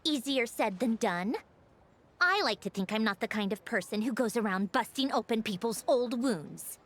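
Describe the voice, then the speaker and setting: A woman voicing a composed, dry-witted female commander in an anime game, measured and knowing. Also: on the high side, lively intonation, fairly bright tone. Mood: dry, wry.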